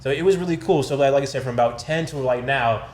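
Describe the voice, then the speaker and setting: A young American man chatting casually on a podcast, slangy and animated, often repeating his phrases. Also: medium pitch, quick pace. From Detroit and Tennessee, United States. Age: young adult. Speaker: podcast guest. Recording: roomy, echoing sound.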